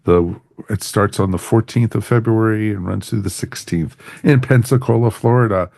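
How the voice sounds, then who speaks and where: A man's voice: lowish voice, normal speed, creaky, darkish tone, no echo, clean studio sound. A man hosting a podcast in a casual, informal way, chatting through plugs and sign-offs with folksy catchphrases. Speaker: podcast host.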